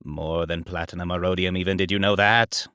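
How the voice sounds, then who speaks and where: A man voicing a spacey, earnest oddball with a flat delivery in an audio drama. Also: deep voice, fairly quick, smooth, dry recording, studio quality. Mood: dazed earnestness. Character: spacey, lanky man of uncertain age quoting scripture.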